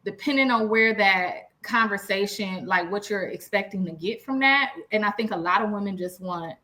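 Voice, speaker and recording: Bright, forceful American woman, frank podcast talk. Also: mid-range voice, moderate pace. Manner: frank, slangy, emphatic. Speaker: podcast co-host. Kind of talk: casual relationship chat with anecdotes.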